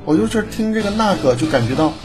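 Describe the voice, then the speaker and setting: A man's voice: high voice, lively intonation, fast. A man chatting casually as a voice director, teasing and often repeating himself. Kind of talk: casual bantering chat. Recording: clear music behind the voice. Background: background music.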